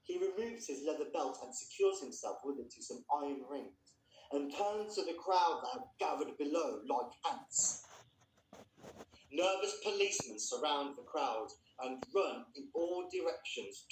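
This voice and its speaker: A man's voice: on the high side, animated, unhurried, a little hiss or hum. A man presenting and reading literary fiction aloud as a storyteller. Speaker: reader introducing and reading a story. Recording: echoey room, distant microphone.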